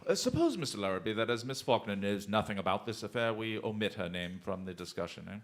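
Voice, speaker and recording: An actor playing a shrewd, commanding detective in a radio drama, speaking formally and deliberately with dry, theatrical mockery. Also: male voice, fairly low pitch, very animated. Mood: cool, taunting. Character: shrewd, commanding male detective, coolly taunting and unflappable.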